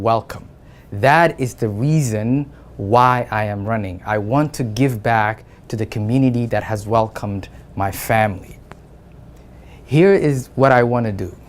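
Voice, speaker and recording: A man from Somalia who is running for city council, giving an earnest, steady campaign statement. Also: mid-range voice.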